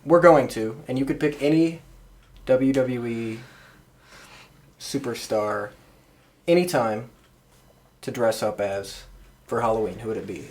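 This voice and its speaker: A woman's voice: a bass voice, a little mellow. A woman co-hosting a podcast in a casual, conversational chat about a shared hobby. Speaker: wrestling podcast host.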